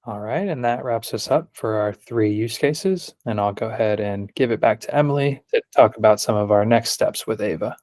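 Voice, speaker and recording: A man presenting a live software demo on a video call, speaking in plain short statements and requests. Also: fairly low pitch, moderate pace, hardly any pauses, no echo, studio quality.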